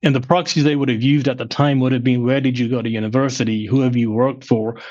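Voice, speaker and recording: A middle-aged man from England who now talks with a slightly Midwestern American accent, giving measured explanations as an interview guest. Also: medium pitch, little pitch movement, a little mellow, muffled recording. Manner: measured, explanatory, frequent "uh" fillers. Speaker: guest, former finance chief. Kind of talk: podcast interview.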